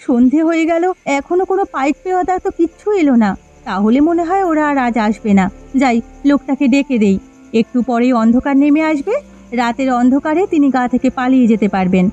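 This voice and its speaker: A woman's voice: high voice, lively intonation, fairly quick, warm tone. A woman voicing a caring, anxious village housewife in a moral-story cartoon. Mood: kind, cautious. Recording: dull lo-fi sound with music behind.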